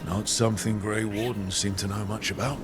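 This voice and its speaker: An actor playing a calm, supportive, gently wry male hero in video game dialogue, giving short, unhurried replies. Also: lowish voice, close to an everyday voice. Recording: music under dialogue.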